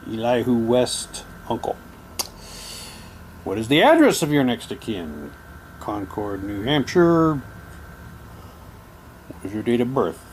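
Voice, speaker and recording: A man's voice: mid-range voice, big pitch swings, slow pace, pauses often, a little hiss or hum, poor audio. A man casually reading printed material aloud, switching between theatrical delivery and muttered asides.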